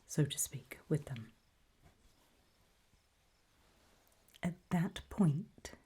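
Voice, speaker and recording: A woman playing a calm, faintly sinister guide in a creepy ASMR roleplay, whispering slowly and deliberately with a breathy voice. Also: deep voice, heavily acted. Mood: soothing, ominous. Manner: slow, deliberate, coolly instructive, softly ominous. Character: calm, faintly sinister female guide briefing people on alien dangers.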